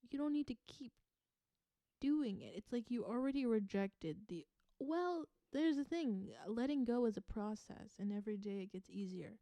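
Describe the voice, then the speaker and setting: A woman from the American South giving an intuitive tarot reading to viewers. She gives earnest advice, repeats phrases for emphasis and laughs softly. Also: a little high, expressive, normal speed, dry recording. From Southern United States. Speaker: tarot reader.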